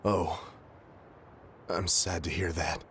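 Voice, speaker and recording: An actor playing a dignified, sorrowful and forgiving brother in a video game, with a formal, composed way of talking. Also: male voice, low-pitched, steady tone, slow, gravelly, a little hiss or hum, full character voice. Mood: subdued, apologetic. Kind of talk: English video game voice acting. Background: loud background music.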